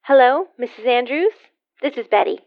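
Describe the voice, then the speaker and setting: A woman's voice: a little high, expressive, normal speed, creaky. A woman playing Betty, a polite, eager teenage girl heard through a telephone in an old radio comedy. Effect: telephone filter. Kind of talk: 1940s radio comedy acting. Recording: muffled telephone-line sound.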